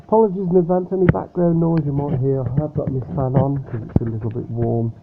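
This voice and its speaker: Man, casual home vlogger, soft and hesitant, slightly nasal with a cold; thin phone-like audio, faint fan hum. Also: mid-range voice, fairly even intonation, fast talker. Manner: speaking softly, hesitant with many fillers. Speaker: model aircraft unboxing vlogger. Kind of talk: casual unboxing chat. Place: room at home with a fan running.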